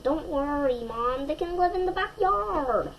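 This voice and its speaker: A woman's voice: high-pitched, lively intonation, unhurried, slight background noise, voice pushed up. A woman voicing an eager, earnest little boy with a coaxing manner in a storybook. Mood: pleading. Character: eager, pleading little boy who loves dinosaurs.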